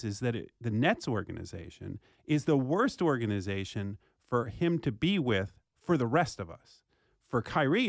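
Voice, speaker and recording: Male sports talk co-host, mid-pitched and closely recorded dry, opinionated and argumentative, hammers points through repetition. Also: no echo. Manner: argumentative, emphatic, repeats phrases for emphasis.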